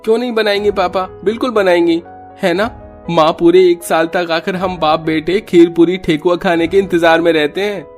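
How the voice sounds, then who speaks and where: A man's voice: high-pitched, fairly even intonation, normal speed, soft background music, close to an everyday voice. A man voicing a dutiful, earnest grown son in a family cartoon.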